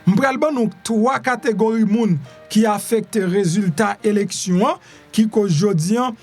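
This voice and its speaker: A man with a high-pitched voice and a Haitian accent comments on the news in an informal, conversational way. He repeats phrases and throws out rhetorical questions, with music playing behind him. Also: unhurried. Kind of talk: informal news commentary in Creole with some French and English.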